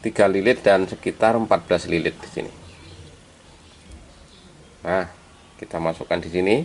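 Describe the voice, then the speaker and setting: A man gives an informal hands-on tutorial step by step, addressing viewers as friends and halting as he works. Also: low voice. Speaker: electronics repair hobbyist presenting a tutorial. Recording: clearly noisy amateur recording.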